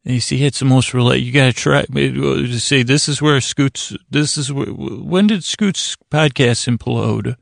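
Male sleep-podcast host, mid-pitched with plenty of vocal fry, meandering and soothing, drawn-out phrasing, stop-and-start. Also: no room sound, clean studio sound. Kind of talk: rambling bedtime monologue to lull listeners to sleep.

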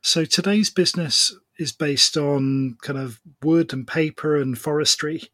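A man hosting a podcast, introducing his guest and asking relaxed, plain questions. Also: a little high, measured pace, no room sound. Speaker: podcast host. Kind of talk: interview hosting.

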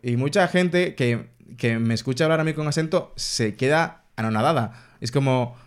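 Young dominican guy, thoughtful, explains things calmly, mid pitch. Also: moderate pace, clean tone. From Dominican Republic. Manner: reflective, explanatory. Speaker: podcast co-host. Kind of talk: casual chat.

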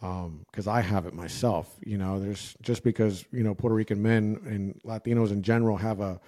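A man's voice: fairly low pitch, steady tone, moderate pace, dark tone. An American man telling personal stories in casual, rambling, slangy conversation. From United States. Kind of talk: casual chat, personal anecdotes.

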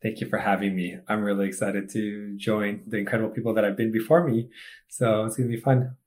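A man in his thirties from Egypt, a startup founder chatting as a podcast guest, speeding up in animated bursts and leaning on "like" as he talks. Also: lowish voice. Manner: animated storyteller, fast bursts and filler "like". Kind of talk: casual interview chat.

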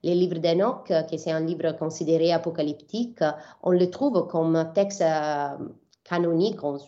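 A woman's voice: deep voice, fairly even intonation, measured pace, warm tone, highs cut off. A young Italian woman from southern Italy, a biblical scholar answering interview questions in French with many fillers and restarts.

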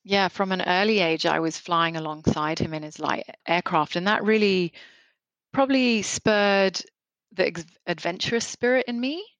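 A woman's voice: on the low side, normal speed, a bit bright, no room sound, poor audio. A woman in her forties from Kenya, a photographer answering interview questions in a reflective way, often restarting and repeating words.

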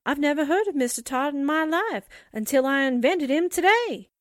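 A woman's voice: sits high, lively intonation, moderate pace, dry recording, clean studio sound. A woman playing a plucky, suspicious young newspaper secretary in a theatrical 1940s radio drama style. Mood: sure, conspiratorial.

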